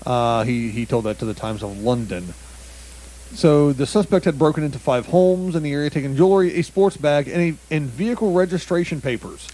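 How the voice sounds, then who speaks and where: A man's voice: fairly high pitch, a little fast, mellow, low-quality recording. Giggly man reading odd news aloud. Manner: reads odd news stories aloud, stumbling over foreign words and laughing mid-sentence. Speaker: comedy podcast co-host. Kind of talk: casual comedic news banter.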